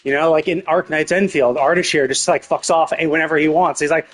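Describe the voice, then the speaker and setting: A man with a husky voice giving an opinionated, emphatic, sweary rant as a game streamer in casual commentary. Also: on the high side, fairly even intonation, fairly quick, fairly bright tone.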